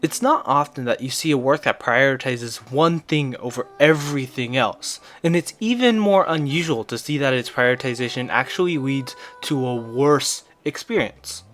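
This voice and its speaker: A man's voice: mid-range voice, animated, moderate pace, few pauses, fairly bright tone, faint music behind. Man narrating a scripted review. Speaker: video reviewer.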